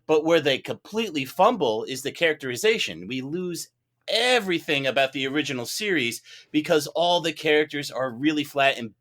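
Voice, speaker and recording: Bright-voiced man, enthusiastic film debater. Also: fairly high pitch, expressive, a little fast. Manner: talkative, enthusiastic, playful. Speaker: podcast judge and juror. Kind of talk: casual film debate, mock courtroom.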